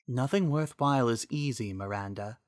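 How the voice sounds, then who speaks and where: A man voicing a gentle, wise female spirit of a magical relic, soft, calm and reassuring, in a fantasy storybook reading. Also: medium pitch, normal speed, no echo, studio quality, lightly acted.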